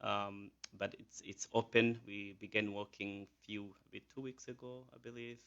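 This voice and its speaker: Rwandan-accented man, hesitant and bright. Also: lowish voice, level tone, measured pace, muffled recording. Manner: hesitant, many fillers and restarts. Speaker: physician guest. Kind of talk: radio interview.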